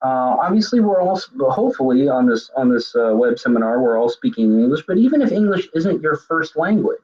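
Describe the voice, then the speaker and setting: A man's voice: medium pitch, very animated, fairly quick, darkish tone, breathy edge, muffled recording. A man presenting a web seminar on leadership, speaking conversationally with frequent fillers and self-corrections. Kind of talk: lecture on leadership communication.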